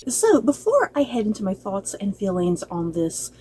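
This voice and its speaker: A woman's voice: medium pitch, huge pitch swings, moderate pace, noisy background. A woman giving a casual, unscripted review to viewers as a channel host, chatty and rambling, often circling back to repeat herself.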